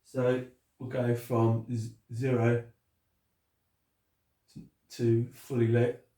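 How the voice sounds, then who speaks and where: A man's voice: mid-range voice, monotone, takes it slowly, warm tone. Sniffly man rambling through his model layout. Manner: rambling, many fillers and restarts. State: sniffly with a cold. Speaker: model railway hobbyist showing his layout. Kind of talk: casual walkthrough video. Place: indoor hobby room with the layout.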